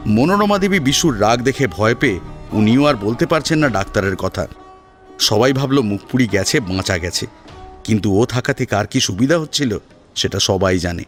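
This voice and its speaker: Man narrating a story with lively intonation. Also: fairly low pitch, moderate pace, soft background music. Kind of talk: dramatic scripted story narration.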